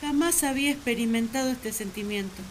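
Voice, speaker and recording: A woman's voice: on the high side, fairly quick, slight background noise, lightly acted, low-quality recording. An actress playing an earnest, lovesick first woman who confides her feelings in a sentimental radio drama monologue. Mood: sad, hurt.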